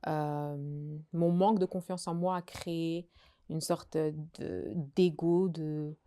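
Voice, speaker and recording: A woman's voice: low-pitched, little pitch movement, takes it slowly, mellow, smooth. A young woman from Belgium, a guest telling her life story in casual conversation, hesitant and full of fillers and restarts. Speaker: guest sharing her testimony.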